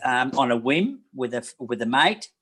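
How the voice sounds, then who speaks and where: A man in his sixties from New Zealand, a massage therapist telling his life story in an interview, rambling and halting with many fillers and restarts. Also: medium pitch, big pitch swings, fairly bright tone, husky. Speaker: guest, massage therapist and personal trainer. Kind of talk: casual interview talk.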